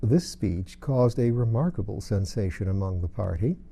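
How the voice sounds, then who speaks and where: A man's voice: on the low side, animated, normal speed, vocal fry, mellow. A man narrating a storybook as an audiobook reader.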